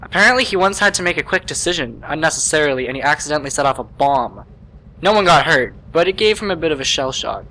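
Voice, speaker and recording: Male actor as young mechanic for a radio play: casual, easygoing, bright, slightly high for a man. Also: expressive, fast, low-quality recording. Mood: casual, chatty. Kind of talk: amateur radio play acting.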